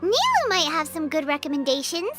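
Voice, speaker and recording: A woman voicing a tiny childlike floating fairy guide in a video game, squeaky, perky and blunt. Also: huge pitch swings, a little fast. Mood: chirpy. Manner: refers to self by name, perky. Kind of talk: video game character acting. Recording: game dialogue with music behind.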